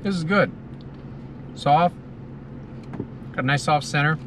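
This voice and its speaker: American male food reviewer, middle-aged, slightly high voice, casual and savoring; noisy car-cabin recording. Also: slow. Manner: talking between bites, savoring, enthusiastic. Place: inside a parked car. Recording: car cabin with clear ambient noise.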